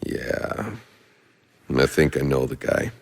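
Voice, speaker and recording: An actor playing a weary, cynical, foul-mouthed sci-fi soldier for a video game, with a gruff, sardonic, laconic delivery and a gravelly, husky voice. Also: male voice, rumbling low, expressive, slow pace, big-room sound, heavily acted. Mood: gruff, casual.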